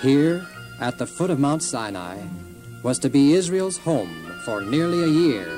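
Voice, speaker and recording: Man, solemn narrator, stately delivery, slightly high voice, music behind. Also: very animated, a little slow. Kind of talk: solemn scripted storybook narration.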